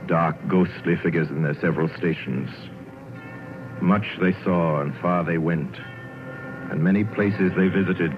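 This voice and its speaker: A man narrating the story in measured, dramatic style. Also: a bass voice. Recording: phone-like old radio broadcast with music.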